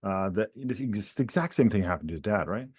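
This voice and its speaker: A man's voice: on the low side, lively intonation, brisk, darkish tone, slightly rough, no room sound. A man co-hosting a casual political chat podcast, rambling with many restarts and repeated words. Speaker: podcast co-host.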